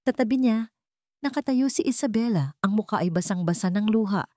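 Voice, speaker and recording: A woman's voice: mid-range voice, expressive, a little fast, mellow, no room sound, clean studio sound. A woman with a Filipino accent reading a dramatic scripted story as narrator.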